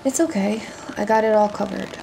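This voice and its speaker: A woman's voice: mid-range voice, brisk, vocal fry, warm tone, a little hiss or hum, natural voice, poor audio. A woman acting a guarded, commanding pirate captain in a dramatic audio roleplay, with a curt, bossy manner. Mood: weary, guarded.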